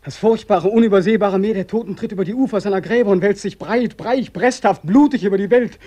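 An actor playing a haunted, sleepless young ex-sergeant in a German radio play, speaking with insistent, feverish intensity in a husky voice. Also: male voice, high-pitched, dark tone. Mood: feverish, urgent. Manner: intense, urgent, insistent repetition.

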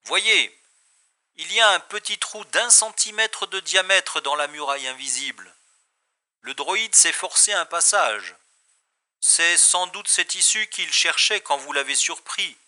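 A man's voice: a little high, lively intonation, unhurried, sharp tone, full character voice. A man playing a confident, polite investigator in a science-fiction audio drama, speaking formally and with assurance. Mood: businesslike.

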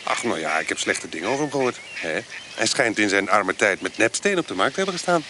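Fawning rogue trader with a big nose, lively sing-song delivery, noisy radio-play background. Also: male voice, fairly low pitch, fast. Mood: wheedling. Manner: fawning, wheedling. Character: scheming male vagabond trader with a big nose.

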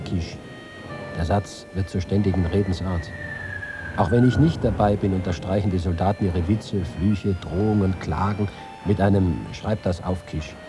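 A man's voice: low voice, natural voice. An actor playing a reporter who reads his war notes and letters in a dramatic literary style.

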